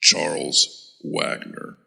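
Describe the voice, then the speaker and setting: An actor playing a terse, guarded veteran supersoldier in an audio drama. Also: male voice, extremely slow, sharp tone, exaggerated voice. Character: gruff old war veteran supersoldier, wry.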